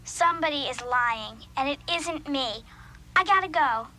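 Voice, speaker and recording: An excitable preteen schoolgirl in a children's audio drama. The voice is high and bright, with an earnest, emphatic delivery. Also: unhurried, full character voice. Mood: insistent.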